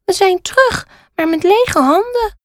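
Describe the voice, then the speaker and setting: A woman's voice: very high-pitched, lively intonation, measured pace, no room sound, studio quality, voice pushed up. A woman voicing a kind, curious young male panda in a children's story, speaking earnestly. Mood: earnest, rueful.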